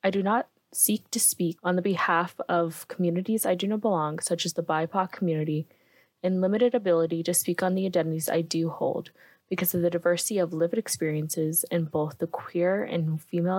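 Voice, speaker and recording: A woman hosts a podcast interview. She reads a prepared introduction in a measured, formal way. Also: on the low side, fairly even intonation, darkish tone, no room sound. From Canada.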